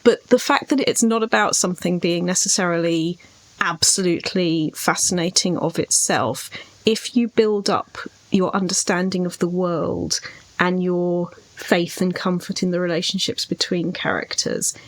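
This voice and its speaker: A woman's voice: fairly low pitch, fairly even intonation, normal speed. A British woman reflecting on writing as a podcast co-host, speaking articulately and at length in a thoughtful way.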